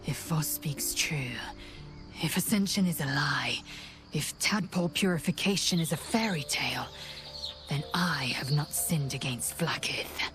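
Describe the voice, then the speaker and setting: A woman's voice: sits low, measured pace, a bit bright, faint music behind. An actress playing a fierce, proud young alien warrior woman in a fantasy game, with a clipped, imperious, formal delivery. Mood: hushed, wounded. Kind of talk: cinematic fantasy game acting.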